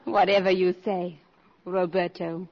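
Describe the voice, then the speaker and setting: An actress playing a poised, worldly, scheming countess with an elegant manner in a 1950s radio drama. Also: female voice, on the low side, flat delivery, measured pace, vocal fry. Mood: soft, compliant. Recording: muffled 1950s radio broadcast.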